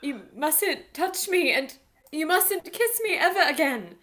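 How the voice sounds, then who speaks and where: An actress playing a tormented young woman under a dark spell, anguished and melodramatic in a radio play. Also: high voice, lively intonation, measured pace.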